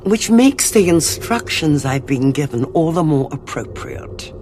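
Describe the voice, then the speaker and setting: A woman playing a composed emissary of a high council in a science fiction audio drama, measured, formal and dryly ironic. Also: animated, darkish tone, soft background music. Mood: formal, stern.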